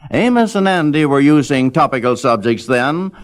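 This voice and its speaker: A man's voice: mid-range voice, expressive, normal speed. A man reading scripted documentary narration as the narrator of a history of radio.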